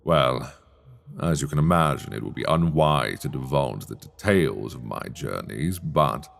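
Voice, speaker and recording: A man performing older male sorcerer, very low creaky voice, silky menace, teasing condescension, fantasy ASMR roleplay, long cavernous reverb. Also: full character voice. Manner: deliberate, condescending, silky, teasing, formal diction. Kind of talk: slow dark fantasy ASMR roleplay acting.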